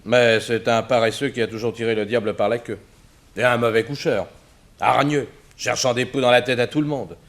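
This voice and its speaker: An actor playing a spiteful, gossipy country neighbour in a 1960s French radio drama. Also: male voice, medium pitch, fairly even intonation, a little fast, natural voice. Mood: scornful.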